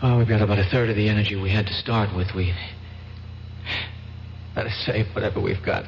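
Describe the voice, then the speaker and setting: An actor in an old-time radio drama playing an exhausted man trapped in a cave, halting but protective and steadying. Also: on the low side, steady tone, close to an everyday voice. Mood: weary. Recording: muffled, hissy old radio recording.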